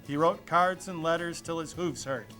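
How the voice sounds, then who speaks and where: Playful man with a high-pitched reading voice. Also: moderate pace, pushed and bright. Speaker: storybook reader. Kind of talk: expressive children's storybook reading. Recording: music playing behind the voice.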